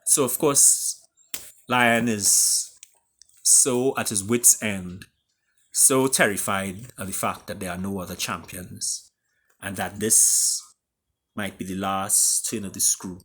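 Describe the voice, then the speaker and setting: A man with a Caribbean accent reading his own story aloud in a theatrical way, with deliberate pauses. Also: on the low side, steady tone, fairly bright tone, husky. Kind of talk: dramatic storytelling.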